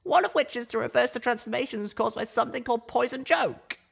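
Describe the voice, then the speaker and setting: A woman playing an earnest, careful young unicorn mare in an audiobook, with a husky, creaky voice. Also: fairly high pitch, fast, exaggerated voice. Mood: careful, hopeful.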